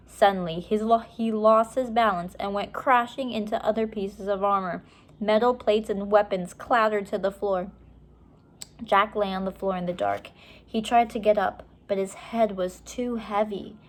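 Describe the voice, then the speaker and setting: A woman reading a children's chapter book aloud with measured, expressive storytelling. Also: mid-range voice. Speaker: story reader.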